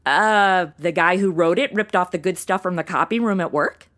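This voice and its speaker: A woman playing an irreverent, slangy American sidekick in a comedy audio drama, expressive and flippant. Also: lowish voice, normal speed, close to an everyday voice. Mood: curious.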